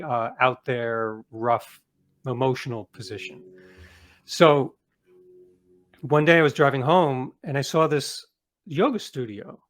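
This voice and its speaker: A middle-aged man speaking as an interview guest, an author telling his story reflectively and conversationally, leaning on key words and trailing off softer. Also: medium pitch. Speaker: guest, author and podcast producer.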